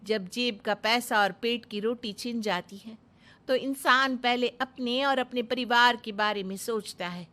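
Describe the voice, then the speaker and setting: Woman acting Nirmala, a bright-voiced earnest village bride. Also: on the high side, a little slow. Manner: earnest, polite. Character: earnest, resourceful village daughter-in-law Nirmala.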